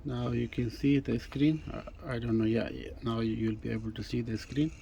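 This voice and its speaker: A man's voice: medium pitch, little pitch movement, creaky, dull audio, very noisy. A man who is not a native English speaker, casually demonstrating a gadget in a hesitant, step-by-step way. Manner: hesitant, halting, step-by-step explaining. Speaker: presenter demonstrating a vintage gadget. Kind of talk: casual unscripted product demonstration.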